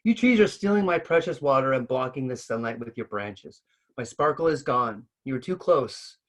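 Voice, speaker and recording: A man voicing a vain, selfish male pond spirit in a storybook, theatrical and quick to take offence. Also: mid-range voice, expressive, lightly acted. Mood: panicked outrage. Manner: theatrical, indignant, ranting. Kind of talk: storybook read-aloud.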